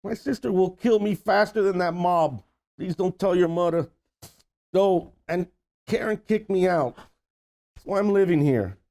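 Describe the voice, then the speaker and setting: Guy playing a desperate schemer uncle in a comedy read, kinda high voice, blustery and pleading. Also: unhurried, vocal fry, darkish tone. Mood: pleading, rueful. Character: desperate, scheming middle-aged uncle, small-time gambler in debt. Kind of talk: comedy script table read.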